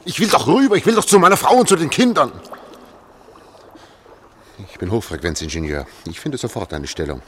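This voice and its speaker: An actor playing a desperate, agitated engineer on the run in a German radio drama, halting and repeating himself as he pleads. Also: mid-range voice, lively intonation, slight background noise, lightly acted. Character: desperate, agitated male engineer fleeing underground.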